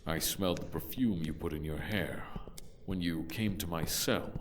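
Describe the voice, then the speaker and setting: An actor playing a huge, blunt, fierce barbarian warrior in a fantasy audio drama, speaking tersely and matter-of-factly. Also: male voice, deep voice, moderate pace, recorded from a distance, slight background noise, natural voice. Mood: grim.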